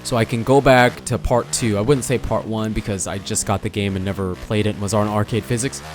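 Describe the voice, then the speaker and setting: Young guy kinda hoarse, rambly gaming commentary, faint music and bike engines in the background. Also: lowish voice, steady tone, brisk. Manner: rambling, self-correcting, chatty. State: hoarse, losing his voice. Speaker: gaming channel host. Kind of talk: casual gameplay commentary.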